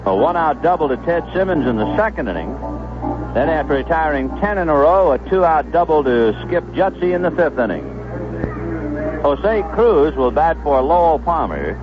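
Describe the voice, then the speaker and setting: A man doing live radio baseball play-by-play, describing the action in measured phrases with pauses between them. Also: fairly high pitch. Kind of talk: live radio sports commentary. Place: stadium broadcast booth. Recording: muffled 1970s AM radio broadcast, background noise.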